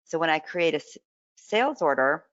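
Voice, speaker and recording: Dull-sounding webinar software demo presenter. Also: medium pitch, very animated, normal speed, no room sound. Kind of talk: live product demonstration with casual explanation.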